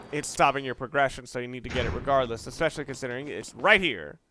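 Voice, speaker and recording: A man's voice: medium pitch, lively intonation, brisk, sharp tone, slight background noise. A man giving casual let's play commentary in a rambling, conversational way, often correcting himself as he goes. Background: game music.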